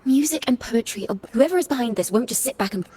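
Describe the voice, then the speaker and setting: High-voiced, poetic young bard. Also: female voice, animated, fast talker, dark tone, husky. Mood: musing, inspired. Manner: lyrical, theatrical phrasing. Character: poetic young female wandering musician, cheerful and musing. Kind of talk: video game character dialogue.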